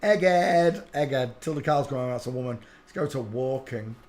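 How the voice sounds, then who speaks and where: A man with a middle-pitched voice talks over video clips in a brash, mocking way. He throws in quick offhand asides and sounds casual and unscripted throughout. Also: expressive, a little mellow. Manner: brash, scoffing, jumps between asides and quick remarks. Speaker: channel host commenting on clips.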